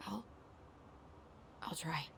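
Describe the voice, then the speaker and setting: A woman's voice: very slow, a bit bright, slight background noise. An actress playing a guarded, reluctant young woman, hurt and wary, in a naturalistic video game cutscene. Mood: resigned. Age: young adult.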